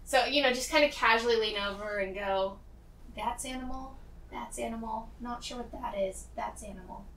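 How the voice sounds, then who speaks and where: A woman's voice: a little high, moderate pace, fairly bright tone. A woman playing a tabletop game, chatting casually and improvising as she goes. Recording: roomy, distant mic with background noise.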